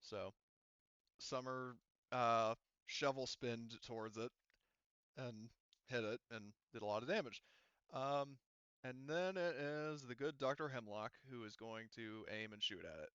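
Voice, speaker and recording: A man narrating a tabletop roleplaying game as game master in a casual, halting way, thinking aloud as he goes. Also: mid-range voice, expressive, fairly bright tone, clean tone, dry recording, dull audio.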